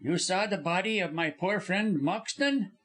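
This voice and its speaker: A man's voice: high voice, a little slow, a bit bright, voice pushed up. A man voicing a suave young Austrian card player with a foreign accent and polished, courteous manner.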